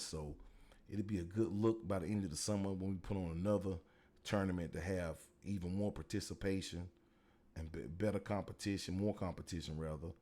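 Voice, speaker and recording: A man with a deep voice hosting a casual solo podcast as a middle school football coach, talking in a relaxed, rambling way with many fillers.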